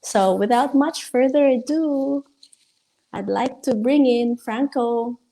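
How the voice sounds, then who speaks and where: A woman's voice: a little high, slow, mellow, poor audio. A woman hosting an online livestream interview in an encouraging, admiring manner. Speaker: interview host.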